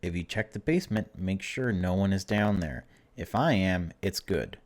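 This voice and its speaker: A man's voice: deep voice, animated, normal speed, a little mellow. A man giving a casual, chatty let's-play, reading game text aloud and adding wry asides. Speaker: video game streamer.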